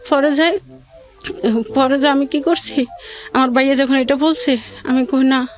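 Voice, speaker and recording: A woman shares her life story as a guest. She speaks plaintively and conversationally, circling back over phrases and halting as she searches for the next one. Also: high voice, steady tone, faint music behind. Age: adult mother of two. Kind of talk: personal hardship testimony in conversation.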